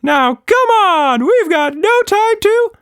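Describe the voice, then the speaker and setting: A man voicing an excitable, exclamatory male magical monster with many arms, in a children's story. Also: very high-pitched, slow, no room sound, clean studio sound, voice pushed up, exaggerated voice. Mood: urgent, enthusiastic. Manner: enthusiastic, exclamatory.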